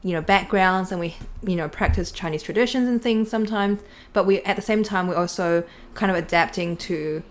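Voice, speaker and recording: A woman's voice: medium pitch, a little fast. A young woman, explaining her thoughts tentatively in a casual chat as a co-host. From New Zealand, grew up there. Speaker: podcast co-host.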